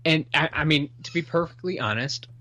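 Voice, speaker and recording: Halting man co-hosting a podcast. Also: fairly high pitch, pushed and bright, no room sound. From Washington State, US. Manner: halting, many restarts and fillers. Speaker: podcast co-host. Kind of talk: casual podcast chat.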